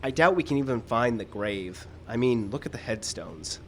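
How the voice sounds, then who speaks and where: A man plays a downbeat would-be writer in a live radio play. His mid-pitched voice is hesitant and rueful, with some outdoor stage noise behind it. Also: expressive, normal speed, close to an everyday voice. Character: hesitant, downbeat male struggling screenwriter. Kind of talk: live radio drama acting.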